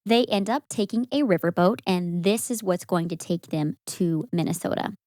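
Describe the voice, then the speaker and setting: A young woman co-hosting a podcast, telling a story in a casual, animated way with frequent laughs. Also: on the low side, normal speed, no room sound, clean studio sound. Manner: animated, laughs often, acts out quoted voices.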